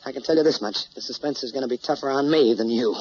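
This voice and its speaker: An actor with an American accent playing a gruff, sardonic newspaperman with a blunt, wisecracking way in a radio drama. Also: medium pitch, quick pace, loud music behind the voice, natural voice. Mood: earnest, smitten. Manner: wisecracking, blunt, plain-spoken. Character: gruff, sardonic newspaperman, a rough-edged romantic. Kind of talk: old-time radio drama acting. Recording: dull, hissy old radio recording.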